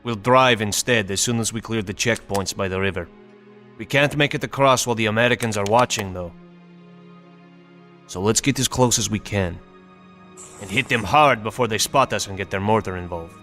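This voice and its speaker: An actor playing a steady, resolute North Vietnamese commander giving matter-of-fact mission briefings in a video game. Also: male voice, on the low side, moderate pace, faint music behind, close to an everyday voice. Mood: urgent, businesslike.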